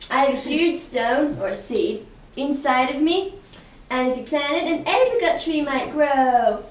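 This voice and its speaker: A woman's voice: high voice, animated, measured pace. A young female student performing lines in an amateur school puppet show, in her everyday voice. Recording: roomy, noisy, distant amateur recording.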